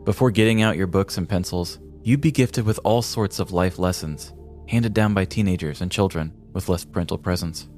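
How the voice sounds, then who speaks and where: A man's voice: sits low, normal speed, a little mellow. An American man in his thirties reading scripted comedic story narration as a podcast host. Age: 30s. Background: faint music.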